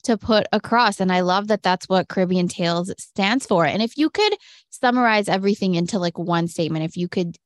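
A woman's voice: mid-range voice, fairly quick, dry recording, clean studio sound. A woman hosting an interview, asking questions and responding enthusiastically. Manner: enthusiastic, affirming. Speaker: interviewer, host.

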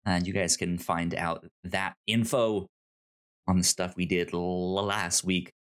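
A man's voice: low-pitched, steady tone, measured pace, fairly bright tone, clean tone. A man hosting a podcast, chatting in an upbeat, informal way. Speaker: podcast host. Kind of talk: casual podcast chat and housekeeping plugs.

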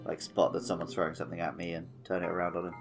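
A man's voice: low-pitched, completely flat delivery, rapid-fire, gravelly, music in the background. A man co-hosting a podcast, chatting casually about a video game. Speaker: podcast co-host. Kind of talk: casual gaming chat.

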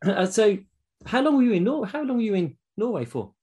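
A man's voice: high-pitched, big pitch swings, brisk, dark tone, husky. A man hosting a podcast interview, asking friendly, conversational questions. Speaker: podcast host.

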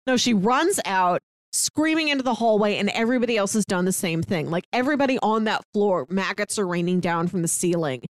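A woman's voice: mid-range voice, expressive, brisk, no echo. A woman co-hosting a podcast, chatting casually about a film with frequent "like" fillers and playful sarcasm. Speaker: podcast co-host. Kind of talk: casual movie discussion.

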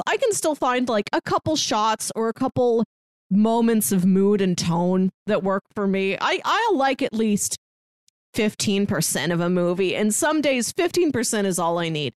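A woman's voice: on the high side, no echo, studio quality. A woman podcast co-host chatting casually about films and shows in a wry, talkative way.

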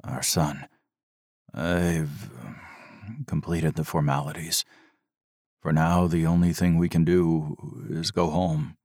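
Man reading an anguished doctor husband, low and strained. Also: slow pace, darkish tone, breathy edge, dry recording, studio quality, heavily acted. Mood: grim. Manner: strained, groaning, restraining.

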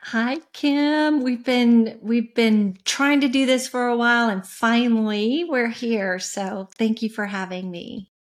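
A middle-aged woman from West Tennessee with a soft voice, telling the story of her career as an interview guest, with pauses, fillers and restarts. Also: fairly high pitch. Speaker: interview guest, music industry coach. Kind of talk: reflective conversational storytelling.

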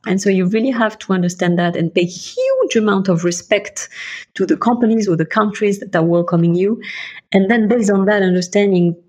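A middle-aged woman from Bulgaria, a guest in an interview, answering in a reflective, measured way and laying out her points one by one. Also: medium pitch, lively intonation, darkish tone. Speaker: guest, investment partner.

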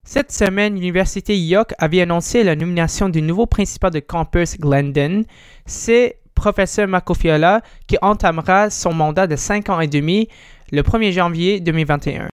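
High, smooth-voiced male radio host. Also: no room sound, clean studio sound. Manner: scripted, polite, formal questions. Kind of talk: radio interview.

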